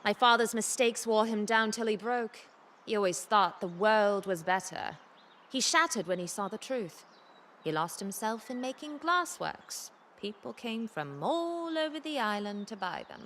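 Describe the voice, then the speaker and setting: A woman playing a haunted, broken craftsman's daughter in a video game, dramatic, anguished and halting. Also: medium pitch, lively intonation, fairly bright tone, a little hiss or hum. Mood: mournful. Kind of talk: game character dialogue.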